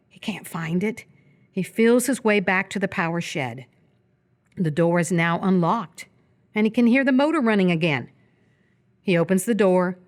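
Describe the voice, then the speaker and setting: A woman narrating scripted stage action for a live radio theatre piece. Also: on the low side.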